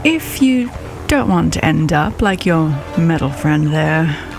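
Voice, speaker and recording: A woman playing a serene, coolly mocking killer with a sweet, crisp voice in a radio drama. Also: low voice, expressive, over music, exaggerated voice. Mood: cold menace. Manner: slow, deliberate, mock-polite.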